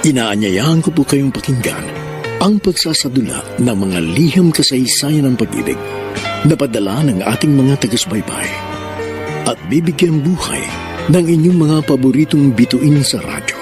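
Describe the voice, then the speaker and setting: A man's voice: mid-range voice, expressive, moderate pace, over music. A man with a Filipino accent hosting a radio drama, reading a scripted dramatic introduction.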